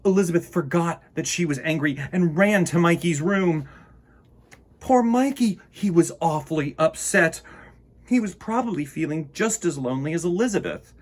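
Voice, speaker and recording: A man's voice: a little high, animated, moderate pace. A man hosting a children's storytime, reading and chatting to young listeners in a playful, whimsical, rambling way. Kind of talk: picture-book reading and playful chat to children.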